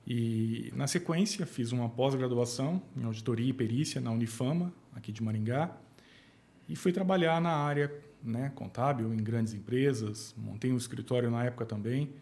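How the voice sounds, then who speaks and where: A Brazilian man in his forties, an accountant talking as a podcast guest, calm and plain, hesitating and restarting now and then. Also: mid-range voice, moderate pace. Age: 40s. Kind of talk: casual interview chat.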